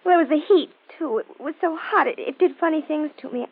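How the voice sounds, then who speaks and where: Overwrought teenage girl, lovesick, high and stammering, old radio melodrama, muffled sound. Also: a little fast. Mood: nervous, confessing. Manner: stammering, repeating phrases. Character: overwrought seventeen-year-old girl, lovesick and defiant, confessing. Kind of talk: 1940s American radio crime drama acting.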